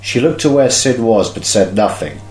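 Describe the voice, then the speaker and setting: A man's voice: mid-range voice, big pitch swings, normal speed, a little mellow. A man reading scripted narration for an amateur audio drama. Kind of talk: scripted fan-fiction narration. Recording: echoey room with faint music.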